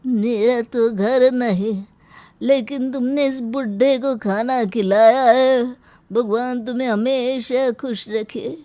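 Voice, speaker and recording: A woman voicing a poor, humble old homeless man who speaks slowly and pleadingly in a storybook tale. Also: fairly high pitch, steady tone, dark tone, no room sound, pitched above the performer's own voice. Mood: grateful. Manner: slow, drawn-out pleading. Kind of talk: storybook character acting.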